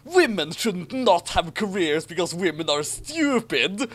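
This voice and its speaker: A man's voice: high voice, very animated, unhurried, fairly bright tone, voice pushed up, heavily acted. A man voicing a self-righteous, earnest schoolboy wizard in a declamatory, indignant storybook reading. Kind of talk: mocking dramatic reading of fan fiction.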